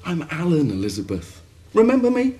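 A man's voice: on the high side, wildly swooping pitch, fairly quick, warm tone. Wheedling male maths teacher, wildly swooping. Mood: needy, pleading. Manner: pleading, self-important. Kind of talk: radio drama acting.

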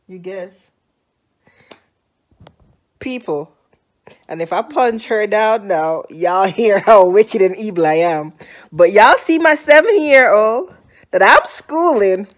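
A woman's voice: medium pitch, expressive, slow, pauses often. A woman in her thirties with a Jamaican accent, filming a sassy, teasing family vlog on a phone held at arm's length in a house. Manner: teasing, sassy, scolding with dry humor. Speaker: mother and vlogger. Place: small room and hallway of a house.

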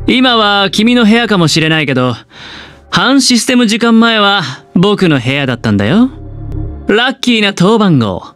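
A man voicing a suave, smug young gambler in a video game, with a smooth voice and a teasing, calculating, theatrically polite charm. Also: high voice, fairly bright tone, exaggerated voice.

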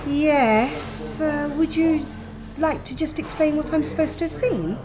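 A woman reading her own first-person story aloud in a gentle, wry manner. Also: high voice, unhurried. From England. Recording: music playing behind.

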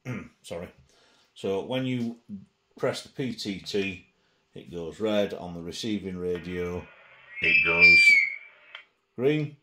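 A man's voice: low-pitched, pushed and bright, slight background noise. A middle-aged man giving a casual hands-on gadget demonstration, presenting indoors at a table.

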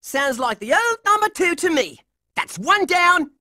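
A woman's voice: extremely high pitch, big pitch swings, a little slow, bright, forceful tone, no room sound, studio quality, heavily acted. A woman voicing a scrappy, tough-talking, hot-headed boy hero in a cartoon video game, brash and combative. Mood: cocky, determined.

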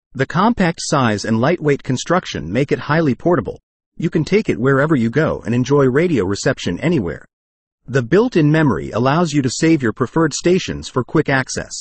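Male review narrator: husky, lively intonation, formal scripted explainer read. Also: medium pitch, no room sound.

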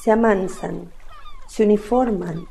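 A woman's voice: medium pitch, slow, creaky, dark tone, a little hiss or hum. A woman narrating a literary radio play from a script.

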